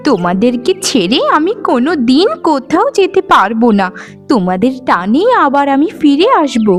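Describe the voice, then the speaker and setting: A woman playing a kind young forest maiden in a cartoon fairy tale, with a tender, expressive way of talking. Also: sits high, moderate pace, faint music behind. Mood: tender, happy.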